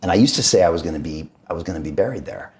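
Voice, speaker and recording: A man's voice: low voice, lively intonation, very fast, darkish tone. A man hosting an interview, talking casually and emphatically, often repeating words. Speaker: podcast host.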